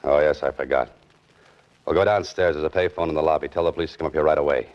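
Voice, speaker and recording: An actor playing a wry young fiction writer caught up in crime, in 1940s radio style with an American accent and a sardonic, hard-boiled delivery. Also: male voice, a bass voice, steady tone, fast, muffled recording. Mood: urgent, suspicious. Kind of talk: 1940s radio acting, first-person noir narration.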